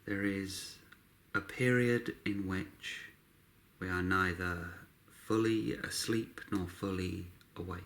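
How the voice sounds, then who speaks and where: Calm guy doing a guided meditation, lowish voice, really slow w long pauses. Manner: calm, unhurried, with long pauses between short phrases.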